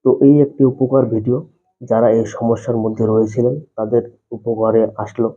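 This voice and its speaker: A man's voice: medium pitch, little pitch movement, a little fast, dark tone. A man narrating a step-by-step phone tutorial, explaining things repetitively and addressing viewers directly. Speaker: tech tutorial host. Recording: muffled, dull lo-fi voice-over.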